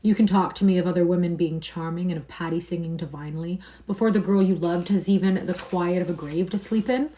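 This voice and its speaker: A woman's voice: lowish voice, fairly even intonation, brisk, few pauses, warm tone, slight background noise, close to an everyday voice. A woman voicing a grave, pained male painter in an audiobook-style reading, earnest and reproachful. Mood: distressed pleading.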